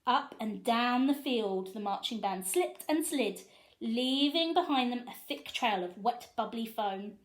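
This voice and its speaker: A woman with a fairly high, very lively voice reads a story to young children. She is expressive and chatty, turning to her listeners with questions. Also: measured pace. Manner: animated, asks listeners questions.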